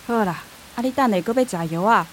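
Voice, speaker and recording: A woman's voice: mid-range voice, fast talker, a bit bright, a little hiss or hum, lightly acted, low-quality recording. An actress playing a practical, caring middle-aged mother in a radio drama, speaking with a Taiwanese accent in a coaxing, explanatory way.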